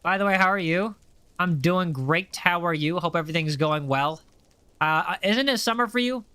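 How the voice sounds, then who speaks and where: A man's voice: sits high, moderate pace, sharp tone, clear, resonant tone, no echo. A young American man with a general American accent, chatting casually and upbeat while playing a game on a livestream and reading chat aloud. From USA. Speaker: streamer.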